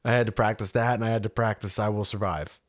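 A man co-hosting a podcast in casual chat, playful and teasing, quick to argue back. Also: on the low side, steady tone, a crowd in the background. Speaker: podcast co-host.

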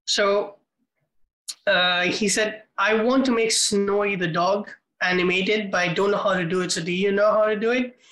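A man's voice: high-pitched, fairly even intonation, normal speed, bright, forceful tone, reverberant, poor audio. A man co-hosting a film podcast, rambling through casual chat with lots of filler words. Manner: rambling, many "like" fillers, laughs often. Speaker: podcast co-host.

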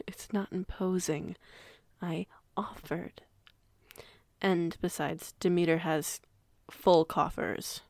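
Woman as female werewolf pack leader, low voice, heavy vocal fry, measured, composed, slightly formal, audio roleplay. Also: steady tone, a little mellow, no room sound, heavily acted. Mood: reassuring.

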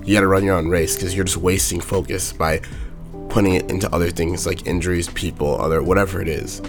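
Hoarse young guy w a really deep raspy monotone voice, casual motivational talk, some faint music behind. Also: brisk. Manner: plain-spoken, repeats his point over and over. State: hoarse from a sore throat.

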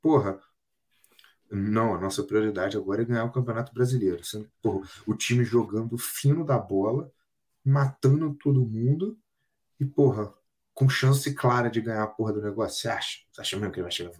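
A man's voice: fairly low pitch, a little fast, a little mellow, breathy edge. A Brazilian man co-hosting a podcast, chatting casually about football, joking and swearing a lot. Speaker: podcast co-host.

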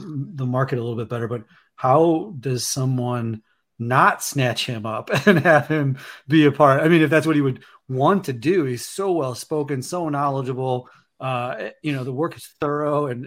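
Guy on a sports podcast, calm measured talk, normal pitch. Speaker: podcast co-host. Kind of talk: casual podcast chat.

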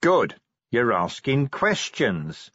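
A man voicing a gruff, combative middle-aged police commander in an audiobook, blunt and sardonic. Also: mid-range voice, big pitch swings, slow pace, fairly bright tone, no echo, lo-fi sound, voice pushed up, heavily acted. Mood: angry, forceful. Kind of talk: audiobook character acting.

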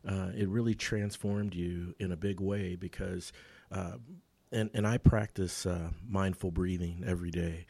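A man hosts a casual interview with a very deep voice. He is supportive and agreeable, with frequent "uh" fillers and short affirmations. The sound is dry and close. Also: steady tone, a little slow, vocal fry, warm tone, husky, dry recording. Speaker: podcast host.

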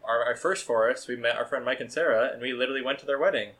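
A man's voice: medium pitch, very fast, a bit bright. A young man co-hosting a podcast, chatting casually with enthusiasm and lots of stammered restarts. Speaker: podcast co-host. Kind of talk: casual chat.

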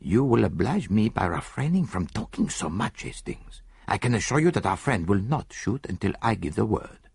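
A man's voice: lowish voice, very animated, moderate pace, vocal fry, darkish tone, slightly rough, no room sound, clean studio sound, full character voice. A man voicing a fussy, precise older male detective, mildly reproving and fond of rhetorical questions. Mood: suave. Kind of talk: audiobook character voice.

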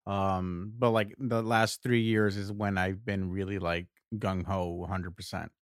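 A man's voice: low voice, little pitch movement, measured pace, dry recording, studio quality. A man in his thirties co-hosting a podcast, speaking in an easygoing, hesitant way full of "like"s. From Puerto Rico, raised in Connecticut. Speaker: podcast co-host. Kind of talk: casual chat.

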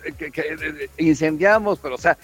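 A man's voice: a little high, big pitch swings, brisk, creaky, breathy edge, faint music behind. A man with a Mexican accent co-hosting a casual morning radio chat. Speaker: radio show co-host and correspondent.

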